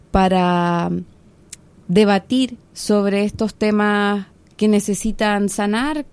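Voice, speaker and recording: A woman from Chile, a researcher and podcast guest, speaking in an earnest, measured and passionate way. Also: medium pitch, a little mellow, smooth. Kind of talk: podcast interview.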